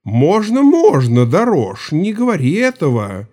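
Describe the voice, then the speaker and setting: An actor playing a conciliatory Cossack peasant who soothes quarrels with repeated, placating words in an audio drama. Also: male voice, fairly high pitch, wildly swooping pitch, measured pace, warm tone, heavily acted. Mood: earnest objection. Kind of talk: Russian audio drama dialogue.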